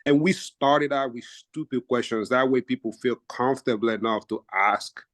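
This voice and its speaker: A man speaks in an even, mid-pitched voice, laying out his steps one after another with a few fillers. The sound is close and dry over a video call, with no room around it. He comes across as an agent explaining his routine to colleagues. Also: normal speed, dry recording. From Nigeria. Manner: steady, methodical, stacks up examples with fillers. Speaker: real estate agent. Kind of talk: casual explanation in a team video meeting.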